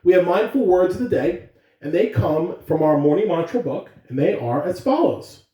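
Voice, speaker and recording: A man addresses young schoolchildren with emphatic, highly varied intonation. He delivers announcements and stories in a direct, animated way. The recording is echoey, with his voice some way from the microphone. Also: moderate pace. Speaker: school announcer reading aloud. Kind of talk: morning announcements and storytelling for children. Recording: echoey room, distant microphone.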